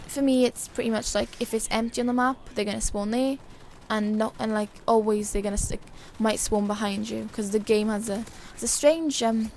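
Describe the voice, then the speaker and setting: A woman gives loose, chatty game commentary in a rather high voice that sounds dry and hoarse. There is plenty of fry in it, and a faint noise sits behind her. She rambles along informally, as if talking straight to her viewers. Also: steady tone, normal speed, hardly any pauses, a little mellow. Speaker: gaming video creator. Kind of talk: casual gameplay commentary.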